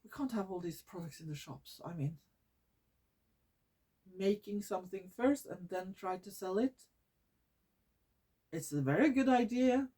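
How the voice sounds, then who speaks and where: A woman's voice: medium pitch, animated, measured pace, long pauses, dark tone, husky. Norwegian woman vlogging, hesitant, many fillers. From Norway. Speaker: environmental vlogger. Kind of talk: casual talk to camera. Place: living room at home.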